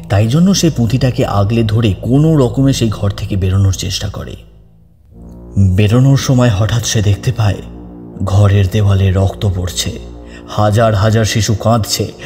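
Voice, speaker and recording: A male audiobook narrator reads a scripted horror story. His voice is dark and mellow at a medium pitch, trailing long reverb over background music.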